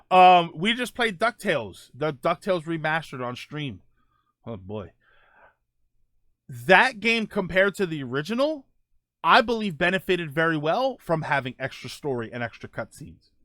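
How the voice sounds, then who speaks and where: Guy streamer host just chatting w viewers, kinda high voice, rambly and conversational, really dry sound no echo. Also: sing-song, normal speed, fairly bright tone. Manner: rambling, conversational, thinking aloud. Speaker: host of a gaming show, streamer. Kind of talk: casual chat answering live viewer comments.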